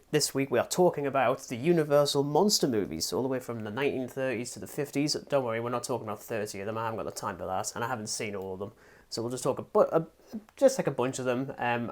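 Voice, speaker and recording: A man's voice: mid-range voice, quick pace. A man hosting a casual movie podcast, rambling and joking with frequent laughs. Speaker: podcast host. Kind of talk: casual chat.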